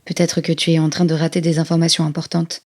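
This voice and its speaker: A woman's voice: low-pitched, little pitch movement, quick pace, a little mellow, lightly acted. A woman performing as an insistent inner-voice narrator in an audio drama, addressing the listener directly.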